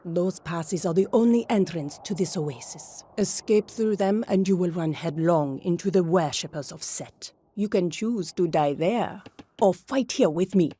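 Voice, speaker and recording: A woman's voice: fairly low pitch, a little slow, warm tone, a little hiss or hum, natural voice. A woman voicing a firm, commanding warrior in a game cutscene. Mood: stern. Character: stern warrior woman guide.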